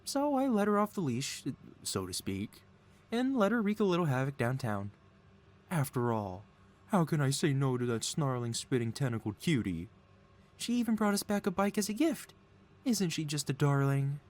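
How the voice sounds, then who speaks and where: A man's voice: on the high side, sing-song, normal speed, darkish tone. A man playing a gleeful, lovesick supervillain mad scientist in an audio roleplay, melodramatic and wheedling with an air of feigned innocence. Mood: gleeful, doting. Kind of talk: ASMR audio roleplay, scripted character acting.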